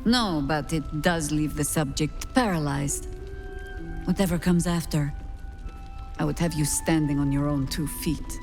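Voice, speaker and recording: A woman playing a wary, battle-worn older druid who leads a resistance in a video game, guarded, deliberate and commanding. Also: low voice, darkish tone, soft background music. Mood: wary, probing. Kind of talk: dramatic video game acting.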